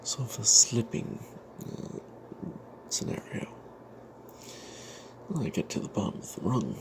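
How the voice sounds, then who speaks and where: A man telling an off-the-cuff fantasy game story in ASMR style, breathy and half-whispered, halting, with playful old-fashioned flourishes. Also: fairly low pitch, sing-song, noisy background. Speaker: storyteller narrating a tabletop game tale.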